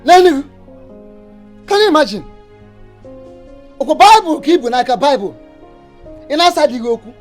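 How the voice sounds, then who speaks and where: Furious Nigerian father, high animated voice, harsh, threatening, repetitive, film drama, faint music. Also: normal speed, long pauses. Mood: indignant. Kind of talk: heated film drama acting.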